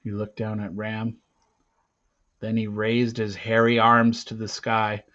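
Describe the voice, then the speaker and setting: A man narrating a storybook aloud theatrically, with dramatic pauses and swings between hushed and loud delivery. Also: fairly low pitch, monotone, highs cut off.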